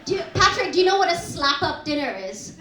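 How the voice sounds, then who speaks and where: Brash comedy MC, woman, high animated voice, live roomy venue. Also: heavy background noise. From India. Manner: teasing, brash, quick banter with audience. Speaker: comedy MC and host. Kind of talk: stand-up crowd work. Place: small comedy pub venue. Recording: roomy live pub gig. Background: laughing crowd.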